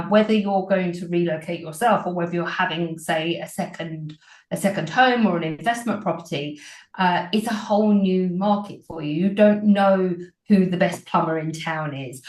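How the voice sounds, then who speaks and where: Earnest woman, roomy sound. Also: lowish voice. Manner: earnest, many ums and restarts. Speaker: real estate agency owner and broker. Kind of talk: interview answers. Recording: roomy echo.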